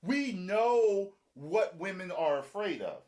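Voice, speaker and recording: A man hosting a casual reaction chat, talking in an animated way and acting out other people's lines. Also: takes it slowly. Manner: animated, acts out quotes with shifting pitch. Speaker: show host.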